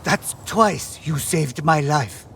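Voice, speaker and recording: Game islander on the run: highish, very animated pitch, unhurried, earnest. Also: male voice, gravelly, slight background noise, exaggerated voice. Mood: grateful. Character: shaken, grateful male islander on the run. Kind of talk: video game cutscene acting.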